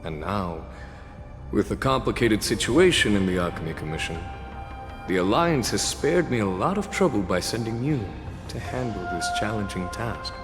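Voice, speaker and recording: A male actor playing a composed, shrewd general in a video game, speaking in a measured, formal and eloquent way. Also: lowish voice, very animated. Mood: composed, courteous. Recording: long reverb under loud background music.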